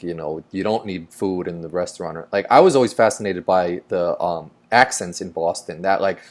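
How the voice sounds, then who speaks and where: A man's voice: deep voice, darkish tone. A man from New York hosting a casual chat over a video call, rambling and filling his talk with "like". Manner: rambling, heavy on "like" fillers. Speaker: host.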